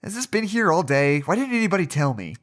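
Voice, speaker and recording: A woman's voice: very deep voice, expressive, fairly quick. A woman playing a scatterbrained, blunt site medic in a naturalistic audio drama, speaking with some hesitation. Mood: distracted.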